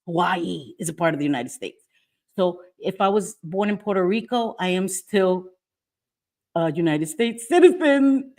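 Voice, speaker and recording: A middle-aged woman from New York talks straight into her live stream, firm and defiant. Her voice sits a little low. She hammers her points home by saying them twice. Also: expressive, a little slow, darkish tone. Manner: emphatic, repeats phrases, defiant. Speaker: livestream host. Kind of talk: casual livestream chat, reading viewer comments. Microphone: webcam or phone mic, live stream.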